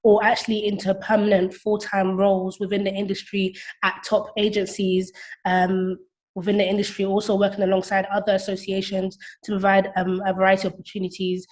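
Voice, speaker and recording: A woman's voice: medium pitch, completely flat delivery, fairly quick, darkish tone. A woman in her twenties giving explanatory answers as an interview guest on a remote call. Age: 20s. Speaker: interview guest, platform founder.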